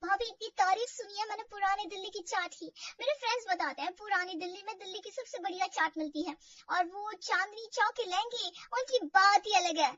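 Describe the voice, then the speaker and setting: Woman voicing an excitable, very high-pitched young sister-in-law. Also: a little fast, dry recording, recorded from a distance, dull audio. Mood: excited, gushing. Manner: gushing, exclamatory.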